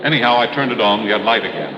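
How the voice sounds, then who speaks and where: An actor playing a middle-aged mine manager in a 1950s radio drama, explaining things in a matter-of-fact way. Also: male voice, fairly low pitch, animated, racing, lo-fi sound, close to an everyday voice. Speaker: mine official. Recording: old radio broadcast, roomy with hiss.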